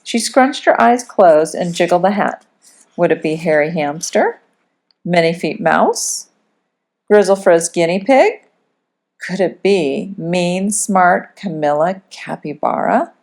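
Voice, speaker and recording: A woman reading a storybook aloud as a teacher would, in expressive, dramatic children's narration. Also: on the low side, slow pace, gravelly.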